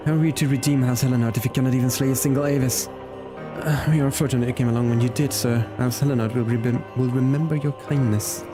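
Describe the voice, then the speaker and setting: A man's voice: mid-range voice, fairly even intonation, fast, natural voice. A man reading game dialogue as a flustered, grateful young lord, formal and earnest, with music behind him.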